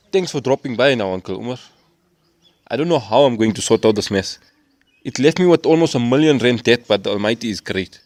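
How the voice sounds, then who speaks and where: Man acting hot-tempered South African husband, swinging pitch. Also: lively intonation, moderate pace, pauses often, a little mellow, close to an everyday voice. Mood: weary, grumbling. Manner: agitated, sarcastic, colloquial. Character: hot-tempered, bitter, indebted young husband. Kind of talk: audio drama acting.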